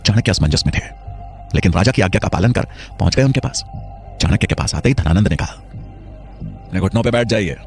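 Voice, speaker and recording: A man's voice: sits low, expressive, fast talker, darkish tone, faint music behind. A man narrating a scripted historical story in a dramatic storytelling style.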